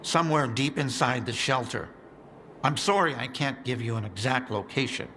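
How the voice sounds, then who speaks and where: A man's voice: mid-range voice, normal speed, pushed and bright, close to an everyday voice. A man playing a worried Zone survivor. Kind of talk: video game dialogue. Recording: game audio with clear ambient noise.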